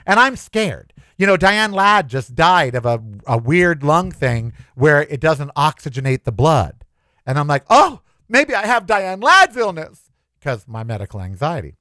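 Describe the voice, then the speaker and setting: A man's voice: mid-range voice, no room sound. Guy rambling on his podcast, voice jumps all over, dry close sound. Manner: chatty, anxious, animated storytelling. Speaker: podcast host. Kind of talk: casual solo podcast monologue.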